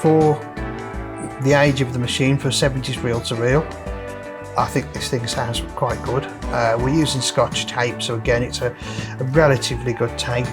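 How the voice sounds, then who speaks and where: Guy doing a casual repair demo, explains what he's doing as he goes, music clearly audible. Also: medium pitch, fairly even intonation, moderate pace. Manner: explains each step as they work. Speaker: host of a vintage audio repair video. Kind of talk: casual hands-on demonstration.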